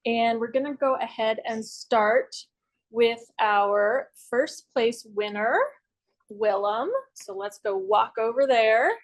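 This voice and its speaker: A woman's voice: on the high side, slow pace, rough recording. A woman host welcoming a gallery audience, speaking with fillers. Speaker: art center staff host. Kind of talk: welcoming remarks.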